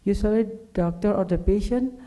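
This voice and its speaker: A woman from Indonesia with an Indonesian accent gives a medical conference presentation in halting, non-native English as a specialist speaker. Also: medium pitch, warm tone, poor audio. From Indonesia, Java. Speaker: clinical pathologist, conference speaker.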